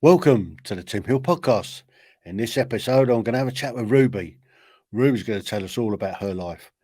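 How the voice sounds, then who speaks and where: A man with a husky voice hosting a podcast, introducing a guest and prompting with interview questions. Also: mid-range voice, animated, brisk, dark tone.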